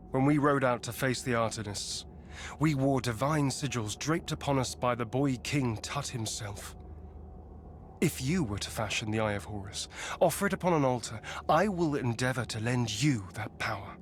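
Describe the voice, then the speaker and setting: An actor playing an earnest, weary immortal warrior guardian, speaking formally in a video game. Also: male voice, mid-range voice, moderate pace, slight background noise, natural voice. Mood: grave. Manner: grave, formal. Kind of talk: video game character acting.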